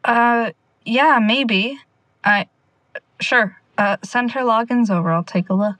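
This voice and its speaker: A woman's voice: a little high, slow, fairly bright tone, no echo. A young woman playing an anxious, sardonic amateur sleuth in a naturalistic audio drama, talking in a casual, rambling way. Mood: hesitant.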